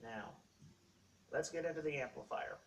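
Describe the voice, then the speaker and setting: A man hosting a casual how-to video, talking through equipment step by step in short, halting phrases. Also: on the high side. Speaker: host of a how-to tech video series. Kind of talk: casual unscripted product walkthrough. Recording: distant mic, faint background noise.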